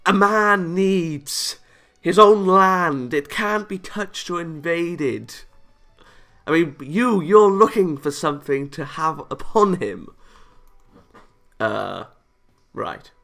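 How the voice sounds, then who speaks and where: Bright, gravelly man narrating a visual novel. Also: a little high, lively intonation, slow, pauses often. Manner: playful, exclaiming, breaks into asides. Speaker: gaming YouTuber reading a visual novel aloud. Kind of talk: animated let's play reading with joking asides. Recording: music behind the voice. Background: visual novel game music.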